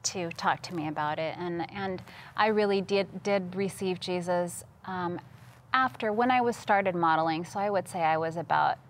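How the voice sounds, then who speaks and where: A woman's voice: lowish voice, normal speed, a little hiss or hum. A woman in her thirties from Costa Rica, talking conversationally and earnestly as a studio interview guest. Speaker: interview guest, missions worker. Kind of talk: conversational testimony. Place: TV studio, seated at a table.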